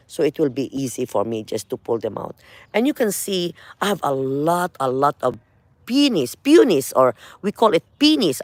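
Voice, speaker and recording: A woman's voice: deep voice, no echo. A middle-aged woman with a Filipino accent gives a casual walk-through of her garden outdoors, chatting loosely and repeating herself with lots of "you know" and "uh". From Philippines. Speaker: gardening vlogger.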